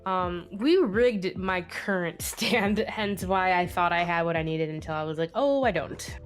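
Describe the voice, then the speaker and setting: A woman's voice: fairly low pitch, measured pace, faint music behind. A woman hosting a live drawing stream, chatting casually, laughing often and swearing freely, a bit sniffly from a head cold. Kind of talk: casual chat while drawing.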